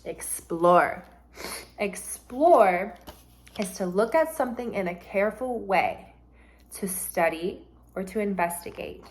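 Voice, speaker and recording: A woman teacher reading a storybook aloud to children in a deliberate, instructive, expressive way and explaining vocabulary as she goes. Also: medium pitch, a little hiss or hum.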